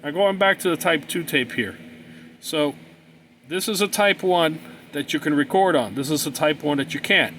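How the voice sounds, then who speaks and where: A man's voice: on the high side, normal speed, fairly bright tone, slight background noise. A man giving an informal hands-on tutorial in a plain-spoken, folksy, explanatory way. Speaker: hobbyist presenter explaining cassette tapes.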